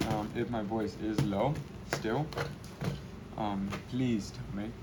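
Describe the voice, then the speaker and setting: Low-voiced young man chatting while painting. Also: steady tone, slow pace, heavy background noise. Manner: rambling, low-key, self-deprecating. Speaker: spray paint artist. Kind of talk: casual commentary while painting.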